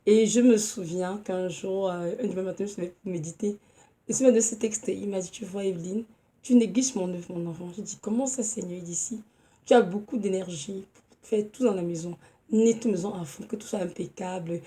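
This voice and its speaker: A woman in her thirties with a cold and a hoarse voice, preaching an earnest, halting home sermon with many pauses and restarts. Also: medium pitch, mellow.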